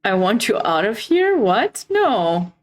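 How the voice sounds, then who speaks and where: A woman's voice: medium pitch, very animated, slow pace. A woman with a Romanian accent hosting a chess livestream, chatting casually and expressively with viewers between bits of commentary.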